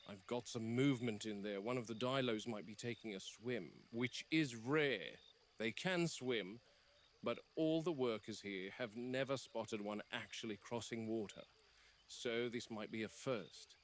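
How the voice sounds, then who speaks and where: A man voicing a grave, wary game warden in an analog horror log, measured and formal, on a field-style recording. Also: medium pitch, a little hiss or hum, natural voice. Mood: hushed awe. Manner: measured, serious, formal delivery. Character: grave, wary male game warden logging dinosaur observations. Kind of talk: scripted analog horror voice acting.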